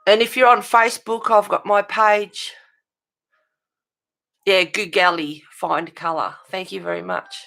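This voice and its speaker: A woman with an Australian accent and a creaky voice, chatting informally and encouragingly as the host of a casual live stream, rambling a little. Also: mid-range voice, steady tone, measured pace, long pauses, fairly bright tone. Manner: rambling, informal, encouraging, addressing viewers as guys.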